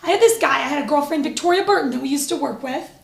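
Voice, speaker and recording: High, gravelly woman in an echoey room. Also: racing. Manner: animated storyteller, laughs often. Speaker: co-host. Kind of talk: casual chat.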